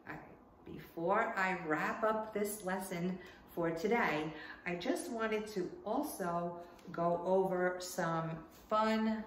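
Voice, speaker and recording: A woman teaching a home lesson for children, with a fairly low voice and a habit of asking "right?" to keep her students with her. Portuguese is her first language. She sits a little back from the mic in an echoey room, with a faint hum of room ambience behind her. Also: recorded from a distance.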